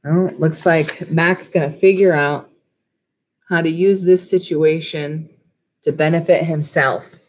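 A woman in her thirties with a low-pitched voice reads a story aloud for schoolchildren. She narrates like a school librarian and slips into casual asides to her listeners. She is recorded at desk distance in a small room. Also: steady tone, slow. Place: small wood-panelled room at home. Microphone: webcam or laptop at desk distance.

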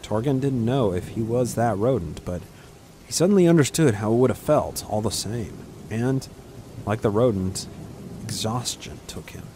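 A man's voice: lowish voice, expressive, normal speed, warm tone. A man reading a scripted fantasy adventure as a dramatic narrator. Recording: clear background ambient noise.

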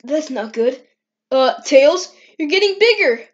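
Woman voicing a high-pitched brash young cartoon hedgehog guy. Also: expressive, measured pace, dull audio. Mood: alarmed, impatient. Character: brash young male cartoon hedgehog, worried best friend. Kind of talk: amateur comic dub acting.

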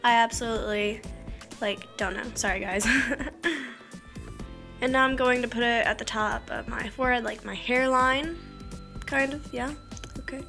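A woman's voice: fairly high pitch, sharp tone. A teenage girl with a slightly scratchy voice, chatting casually and rambling through a makeup tutorial in her bedroom, often trailing off into mumbles and self-mocking jokes. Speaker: beauty vlogger. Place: small bedroom by a curtained window.

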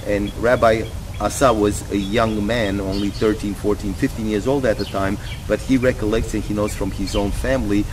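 A man's voice: on the low side, steady tone, normal speed, hardly any pauses. A middle-aged man from Romania interviewing outdoors in a chatty way, with many fillers and interjections. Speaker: interviewer, producer. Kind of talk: conversational interview. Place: outdoors in a yard before a house. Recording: outdoor recording with birds and ambient noise.

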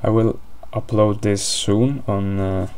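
A man's voice: on the low side, fairly even intonation, slow pace, mellow, smooth. A man with an Italian accent commentating a board game live, hesitant, with many fillers and restarts.

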